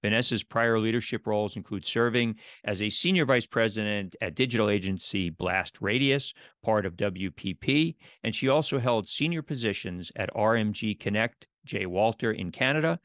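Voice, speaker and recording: A man with a fairly deep voice hosts an interview podcast. He speaks in a measured, presenter's manner. The sound is very dry, with little room around him. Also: fairly bright tone, dry recording. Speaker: podcast host. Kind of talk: scripted podcast intro and interview.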